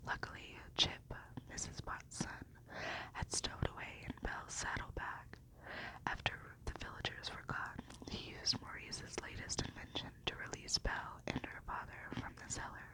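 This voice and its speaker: A woman reading a storybook in a gentle, unhurried, breathy whisper in an ASMR style. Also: low-quality recording.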